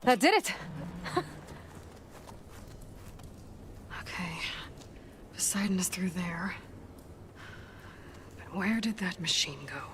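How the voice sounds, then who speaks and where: Young game heroine, lowish voice, curious n dry, practical, bit of background noise. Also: female voice, animated, takes it slowly, a bit bright. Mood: relieved, musing. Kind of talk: video game cutscene acting.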